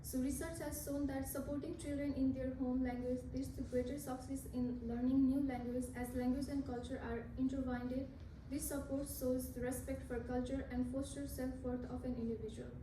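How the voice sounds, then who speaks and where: High-voiced woman, Nepali native, roomy distant sound. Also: little pitch movement, noisy background. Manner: halting, with restarts and self-corrections. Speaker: student speaker. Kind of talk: class presentation speech.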